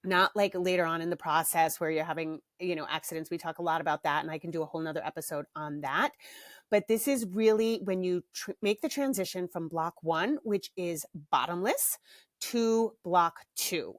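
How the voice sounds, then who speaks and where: Low-voiced, chatty woman podcast host. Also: moderate pace, no echo, studio quality. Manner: candid, chatty, laughs easily. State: slight cough from bronchitis. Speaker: podcast host and parenting author. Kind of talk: casual solo podcast chat.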